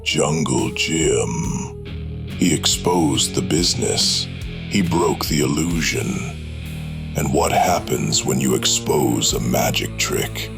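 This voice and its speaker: Ancient vampire wrestler: cavernous bass, extremely slow, soft and hypnotic, ominous, music underneath. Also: male voice, creaky, exaggerated voice. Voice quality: soft, gentle yet heavy. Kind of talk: supernatural noir audio drama acting.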